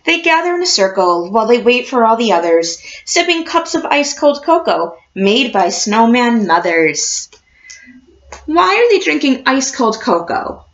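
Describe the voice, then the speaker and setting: A woman reading a picture book aloud like a teacher, expressive and encouraging, addressing young children. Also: fairly high pitch, normal speed, highs cut off. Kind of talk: storybook read-aloud with questions to a class.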